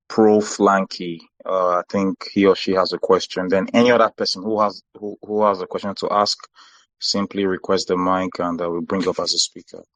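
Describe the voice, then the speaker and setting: A man moderating an online discussion in a polite, halting way. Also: low voice, dry recording, rough recording. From Nigeria.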